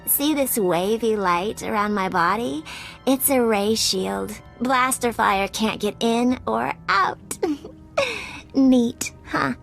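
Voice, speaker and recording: A woman's voice: on the high side, lively intonation, slow pace, creaky, a bit bright, faint music behind, exaggerated voice. A woman playing a flirty, deceitful, lovesick starship captain in a video game, with a coy, sugary manner. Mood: flirty, gleeful. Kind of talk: video game cutscene acting.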